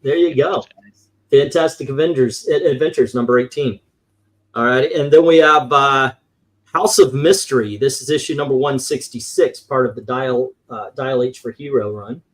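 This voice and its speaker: A man with a mid-pitched voice talks casually as a host showing off a collection. He rambles in a loose, conversational way and swears now and then. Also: moderate pace, rough recording. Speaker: comic book collector and show host. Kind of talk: casual show-and-tell commentary on vintage comics.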